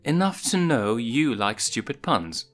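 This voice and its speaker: Guy doing a motherly lioness, higher pitched, curious and gently teasing, story reading with music behind. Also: big pitch swings, measured pace. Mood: amused.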